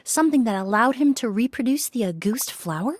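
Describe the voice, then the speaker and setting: Video game investigator: analytical, composed, courteous, on the higher side. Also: female voice, animated, dry recording, studio quality. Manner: measured, polite, analytical. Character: composed, probing female investigator.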